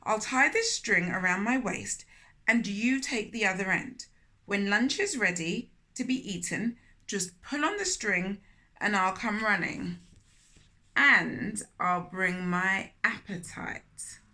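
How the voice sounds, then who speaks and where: A woman voicing a greedy male spider from a children's storybook, agreeable and scheming in a measured way. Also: medium pitch, expressive, bright, forceful tone.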